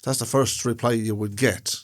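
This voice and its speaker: A man's voice: medium pitch, steady tone, normal speed. Rambling man in casual chat. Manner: rambling, repeats and restarts phrases while explaining. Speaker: podcast co-host. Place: room, indoors.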